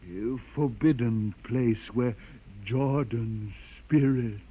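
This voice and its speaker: A man's voice: medium pitch, slow, slightly rough. An actor playing a pompous old holy man, keeper of sacred lore, with solemn oratorical delivery in 1950s radio drama style. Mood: grave. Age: older. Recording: tinny 1950 radio recording, music behind.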